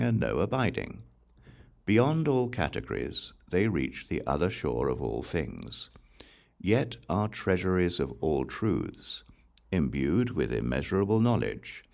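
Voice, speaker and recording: Male audiobook reader, very deep bass, creaky gravel, solemn scripture recitation, deliberate phrasing. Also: a little mellow.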